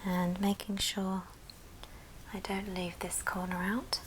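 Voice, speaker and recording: A woman whispering a gentle, breathy, step-by-step ASMR craft tutorial. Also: mid-range voice, flat delivery. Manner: slow, gentle step-by-step instruction. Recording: noisy background, handling clicks.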